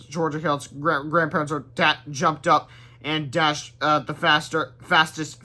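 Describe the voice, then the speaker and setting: A man reading a storybook aloud in an animated way, with exclaimed sound effects and off-script asides. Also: fairly high pitch. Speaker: read-aloud presenter. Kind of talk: expressive storybook read-aloud with ad-libbed asides.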